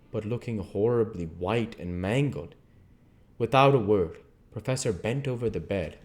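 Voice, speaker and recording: A man reading literary narration aloud as an amateur audiobook reader, in a measured, earnest manner. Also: low voice, darkish tone.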